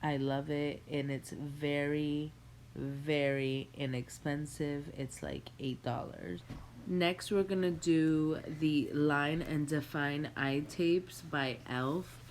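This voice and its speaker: Young female beauty vlogger, nasal from a cold, low-pitched and unhurried; casual tutorial patter at home. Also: a little hiss or hum. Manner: relaxed, chatty, addresses viewers as guys. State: stuffy nose from a cold. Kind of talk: makeup tutorial walkthrough. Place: home room with a Christmas tree.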